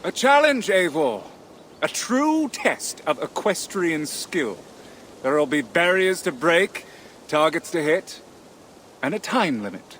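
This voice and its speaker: A proud snarky viking challenge master, slow and haughty, high for a man. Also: big pitch swings, a bit bright, a little hiss or hum, full character voice. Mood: taunting. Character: proud, sharp-tongued male Norse horse-challenge master. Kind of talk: dramatic video game acting.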